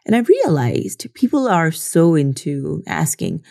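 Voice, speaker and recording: Low, gravelly woman vlogger. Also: wildly swooping pitch, unhurried, warm tone. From Philippines. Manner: earnest, leans on "you know" and "right?". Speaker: social media creator. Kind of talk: casual reflective monologue.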